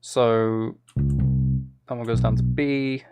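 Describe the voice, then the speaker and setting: Casual male streamer with creaky voice. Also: medium pitch, little pitch movement, takes it slowly, loud music behind the voice. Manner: self-deprecating, hums and sings out rhythms. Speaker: live streamer making music. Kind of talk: casual livestream chat, thinking aloud while composing.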